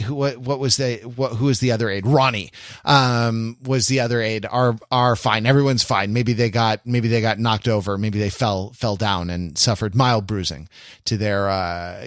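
A man hosting a podcast, casually talking through a TV show in a halting, hedging way, thinking aloud. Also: medium pitch, smooth, no room sound, clean studio sound. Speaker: podcast host.

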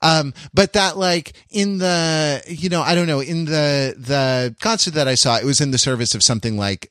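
A man hosting a podcast in a casual conversational style, thinking aloud in a halting, rambling and reflective way. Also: mid-range voice, a bit bright, no echo, clean studio sound. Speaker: podcast host.

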